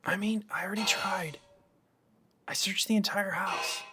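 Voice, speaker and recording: A man's voice: sits high, measured pace. A man playing a weary, resigned young man in an audio drama, casual and dismissive. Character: sleepy, resigned young man, Aaron, downplaying strange noises. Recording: music under the voice.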